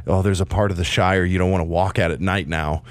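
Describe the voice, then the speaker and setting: A man's voice: sits low, fairly even intonation, quick pace, dry recording. A man in a casual podcast chat, joking and laughing through his lines, with lots of "you know".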